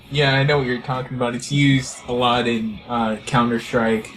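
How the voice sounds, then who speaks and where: A man co-hosting a casual podcast, speaking in a halting, hesitant way. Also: medium pitch, level tone, a bit bright, poor audio. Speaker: podcast co-host. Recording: background noise on the mic.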